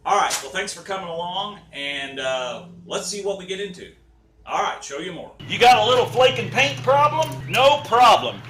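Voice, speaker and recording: A man's voice: medium pitch, a bit bright, a little hiss or hum. An American man giving a casual, hands-on how-to in a folksy, easygoing, rambling way with playful wording. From United States. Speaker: DIY car repair vlogger.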